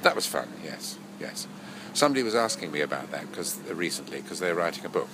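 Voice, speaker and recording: Older male actor, very low voice, nasal from a cold, rambling anecdotes, noisy recording. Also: big pitch swings, quick pace. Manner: rambling, self-correcting, chuckling. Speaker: actor and voice-over artist. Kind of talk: relaxed interview reminiscing.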